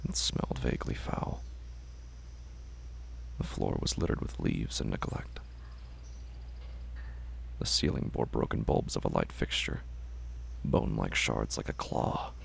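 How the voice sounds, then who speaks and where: A man narrating a scripted horror story in a hushed, deliberate, brooding, gravelly manner. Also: very deep voice, a little hiss or hum.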